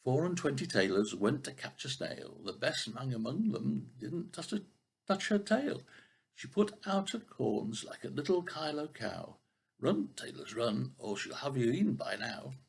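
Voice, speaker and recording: A man's voice: medium pitch, sing-song, unhurried, gravelly, husky, exaggerated voice. An older man voicing tiny, cheeky tailor mice in a storybook, chanting in a sing-song way. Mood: merry, sing-song.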